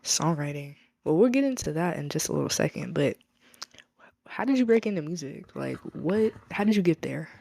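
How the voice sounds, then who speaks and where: A woman's voice: sits low, lively intonation, moderate pace, creaky, dark tone, no room sound, clean studio sound. An American woman hosting her podcast, chatting casually and upbeat with a guest. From United States. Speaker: podcast host. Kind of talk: casual interview chat.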